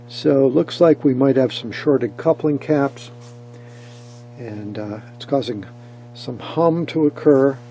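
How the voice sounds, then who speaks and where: A man with a husky voice giving a casual, hands-on technical commentary, thinking aloud as he works. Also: mid-range voice, mellow. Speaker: host of an electronics repair vlog.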